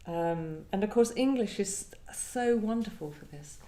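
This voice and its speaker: A woman poet with a soft, dark-toned voice speaks in a literary setting. She is reflective and feels for her words, and the room rings noticeably around her. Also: medium pitch, lively intonation, measured pace. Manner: hesitant, searching, thoughtful phrasing. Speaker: poet discussing own work. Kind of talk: reflective literary conversation with poetry reading. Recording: roomy live event sound.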